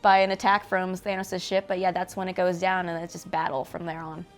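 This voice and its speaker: A woman co-hosting a casual film review, retelling scenes in an animated way and acting out lines. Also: fairly low pitch, fairly quick, faint music behind. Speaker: co-host of a film review show. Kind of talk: casual movie discussion.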